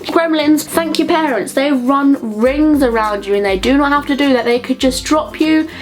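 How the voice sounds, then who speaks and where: A woman's voice: sits high, normal speed, low-quality recording. A young adult woman with a southern English accent, chatting to viewers as a comedic vlogger with playful, sarcastic asides and mild swearing.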